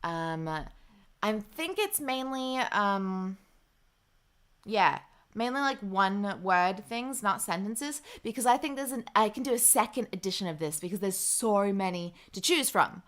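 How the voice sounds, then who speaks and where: A young woman hosting a live stream, chatting loosely with her viewers. Her voice is bright and crisp, and she fills her pauses with easy ums while she reads out comments. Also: mid-range voice, expressive. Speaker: livestream host. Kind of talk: casual livestream chat.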